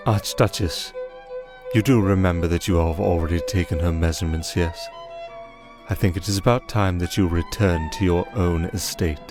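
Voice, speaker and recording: Man playing a deep-voiced, commanding vampire archduke. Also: steady tone, music in the background, lightly acted. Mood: composed authority. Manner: measured, dry, authoritative. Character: commanding, dryly exasperated male vampire archduke. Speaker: lead voice actor. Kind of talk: fantasy ASMR roleplay.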